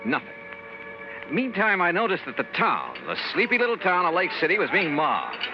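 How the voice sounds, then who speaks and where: Dry, skeptical investigator, lively delivery, old radio, hissy broadcast. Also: male voice, high voice, moderate pace, lo-fi sound. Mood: calm, skeptical. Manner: dry, skeptical, matter-of-fact. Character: wry, level-headed male insurance investigator. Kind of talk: 1950s radio drama acting and narration.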